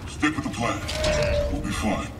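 An actor playing a cool-headed, confident shady operative in a video game, talking in a terse, controlled way. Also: male voice, fairly low pitch, sing-song, normal speed, distant mic, very noisy. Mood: cool, assured. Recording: roomy, off-mic, loud music behind.

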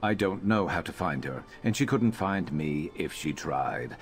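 An actor playing a guarded, brooding beast-folk mercenary in a fantasy video game, terse and deadpan with a flat, robotic delivery. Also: male voice, sits low, a little slow. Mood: dry, bitter. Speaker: game companion character. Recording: game music behind.

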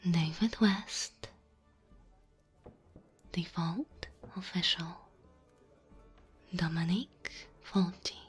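A woman from Slavic Europe giving a soft, breathy, gentle ASMR outro of thanks, often in a whisper. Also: lowish voice. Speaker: ASMR channel creator.

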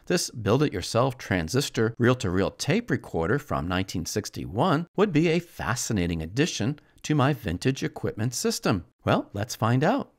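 A man's voice: medium pitch, sing-song, normal speed, few pauses. A man hosting a hands-on unboxing, casually narrating each step as he works without hurry. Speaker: host of a vintage electronics channel.

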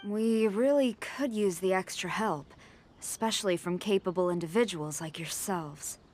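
A woman's voice: medium pitch, darkish tone, slight background noise, close to an everyday voice. Woman voicing a formal, composed female general. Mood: grave, apologetic. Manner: formal, measured, commanding. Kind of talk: video game character acting.

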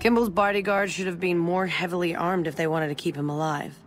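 A woman's voice: lowish voice, fairly even intonation, a little fast, soft background music, close to an everyday voice. A woman playing an earnest, concerned gun company guard in a video game. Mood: concerned, grave. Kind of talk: video game character barks.

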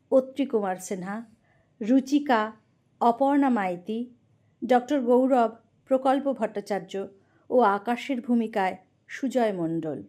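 Female announcer, soft-toned and fairly high, formal scripted delivery. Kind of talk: scripted narration and credits.

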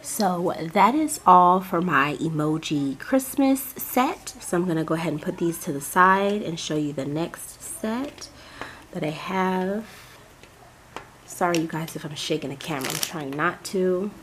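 Vlogger, woman, lower register, a bit raspy from a cold, chatty and effusive; faint background hiss. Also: animated, measured pace, fairly bright tone. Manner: chatty, gushing, addresses viewers as "you guys". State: hoarse from a cold. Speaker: crafting channel host. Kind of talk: casual unboxing vlog.

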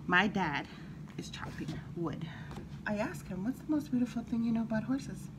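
A woman storyteller reading a children's picture book aloud in an animated, expressive way, with brief asides. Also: a little high, normal speed. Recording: clearly audible background noise.

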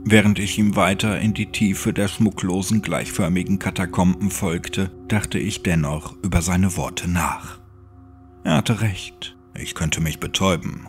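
A man narrating a scripted horror audiobook in a brooding, dramatic manner. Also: low voice. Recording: music under the voice.

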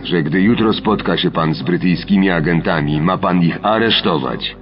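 Actor as deep-voiced stern Nazi chief. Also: male voice, moderate pace, muffled recording, faint music behind, lightly acted. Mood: stern, commanding. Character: Nazi security chief, stern, commanding, cold superior. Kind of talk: radio documentary drama acting.

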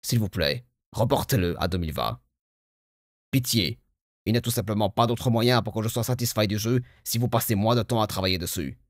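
Man playing a low-voiced pleading game fan. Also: fairly quick, no room sound, clean studio sound, natural voice. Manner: earnest, imploring, dramatic. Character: pleading, devoted game fan with enormous expectations.

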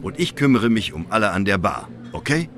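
Game role: easygoing bar boss, low voice, animated, kindly. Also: male voice, brisk. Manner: casual, kindly. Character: friendly, easygoing bar owner training new staff. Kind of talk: video game dialogue acting.

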